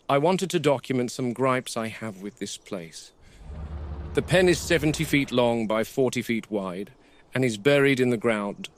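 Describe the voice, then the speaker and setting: An actor playing a gruff, wary game warden, speaking in a measured, sardonic way as if recording a log for a found-footage horror film. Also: male voice, mid-range voice, natural voice. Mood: dry, explanatory.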